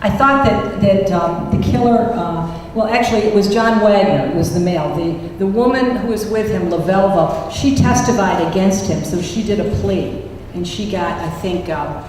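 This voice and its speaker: A woman's voice: mid-range voice, normal speed, few pauses, darkish tone. A woman poet speaking at a public reading of her work, recorded in a reverberant room. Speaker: poet reading from own book and taking questions. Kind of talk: poetry reading and audience question-and-answer. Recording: echoey hall, distant mic, faint noise.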